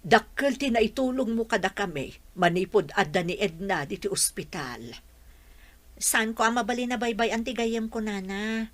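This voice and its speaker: A woman's voice: mid-range voice, expressive, normal speed, close to an everyday voice. Actress as motherly older village woman. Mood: motherly, grateful. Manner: motherly, coaxing. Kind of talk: radio drama acting.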